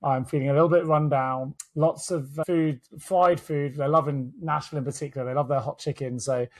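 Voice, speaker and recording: A man with a slightly husky voice, chatting upbeat on a podcast from his home office over a video call. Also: on the high side, fairly even intonation, brisk. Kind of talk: casual conversational podcast chat.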